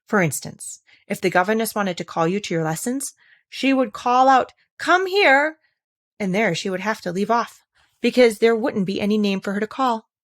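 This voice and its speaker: A woman's voice: medium pitch, lively intonation, normal speed, no room sound, studio quality, lightly acted. Woman voicing a careless, melancholy storybook gnat. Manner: careless, offhand. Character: careless, melancholy talking gnat, fond of bad puns. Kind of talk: children's storybook character reading.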